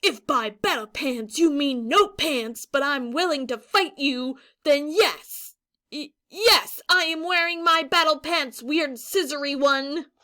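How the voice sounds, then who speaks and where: A blunt, boastful warrior made of rock, straight from a children's storybook, as a woman reader puts him on. He declaims gruffly, like a fighter weary of winning. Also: sits high, slow, pushed and bright, exaggerated voice. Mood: defiant.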